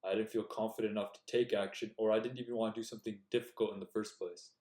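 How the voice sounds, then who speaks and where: A young man speaks in an earnest, direct coaching tone, laying out self-improvement advice. His voice sits a little low. The room around him is echoey. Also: level tone, rapid-fire, darkish tone. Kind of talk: casual motivational talk to camera.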